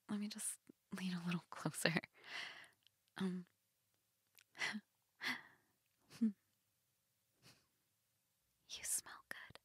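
A young goth woman, acted by a woman in an intimate roleplay audio, teasing yet shy. She speaks slowly in a breathy, half-whispered voice with plenty of vocal fry, recorded close and dry. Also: medium pitch, no room sound, heavily acted. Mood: intimate, hushed. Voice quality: breathy, often dropping to a whisper. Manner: hesitant, teasing, soft-spoken.

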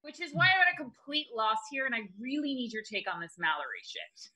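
An actress playing a sardonic, impatient grown daughter in a comedy series table read over a video call. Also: female voice, sits high, very animated, fast talker, sharp tone, slightly rough, full character voice. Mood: impatient, dry. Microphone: computer video call.

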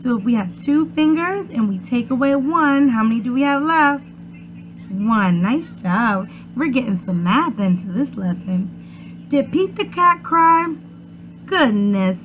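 High-pitched young woman reading to preschoolers. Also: slow pace, phone-quality sound, faint music behind. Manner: expressive, encouraging, addressing young children. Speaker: preschool teacher. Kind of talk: children's read-aloud and chat. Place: small furnished room at home, indoors.

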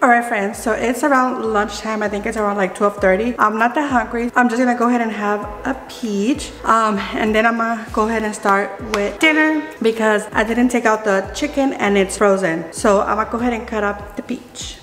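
A woman's voice: a little high, fairly even intonation, fairly quick, few pauses. A woman in her thirties chatting casually through a cooking vlog, dropping Spanish phrases now and then. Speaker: vlogger. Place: large home kitchen. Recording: roomy kitchen echo with background music.

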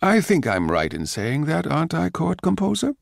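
A man's voice: on the high side, huge pitch swings, moderate pace, creaky, no room sound, studio quality, pitched above the performer's own voice, heavily acted. A man voicing a pompous, condescending, genially vague male Emperor in a radio drama.

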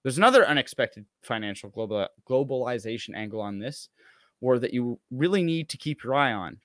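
Male co-host, thirties, mid-pitched, dry sound, sarcastic commentary and read-aloud. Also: expressive, a little fast, a bit bright, dry recording. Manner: sarcastic, mocking asides. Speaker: show co-host. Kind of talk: casual political chat, reading an article aloud with asides. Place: small room at home, on a video call stream.

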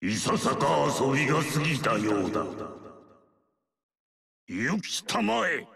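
An aloof male mystic sage, calm and superior,. He talks slowly and in a measured, lofty way, and his pitch swings widely over a lowish voice. The recording is dry and close. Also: vocal fry, husky, no echo, full character voice. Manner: measured, lofty archaic speech. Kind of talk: game character voice lines.

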